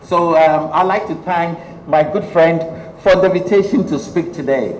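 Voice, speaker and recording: A middle-aged man from Ghana with a Ghanaian accent, giving a measured public lecture full of ums. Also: fairly high pitch. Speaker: guest lecturer. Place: lecture hall. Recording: echoey hall, faint noise.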